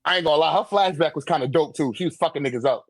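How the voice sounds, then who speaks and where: Man, American accent, slightly high voice, casual discussion, heavy slang and swearing, conversational fillers, dry room-free sound. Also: very fast, no room sound. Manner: slangy, profane, repeats "like" and "you know what I'm saying". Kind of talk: casual chat, fan debate.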